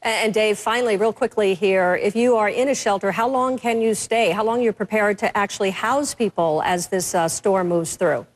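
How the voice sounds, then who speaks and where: A woman's voice: mid-range voice, normal speed, few pauses. A woman, a news anchor reporting and interviewing live.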